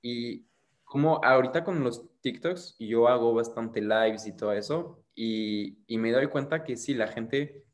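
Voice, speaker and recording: A man's voice: mid-range voice, measured pace. A young French man speaking as an interview guest, rambling and hesitant with many restarts. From France. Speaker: interview guest, content creator. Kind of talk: casual conversational interview.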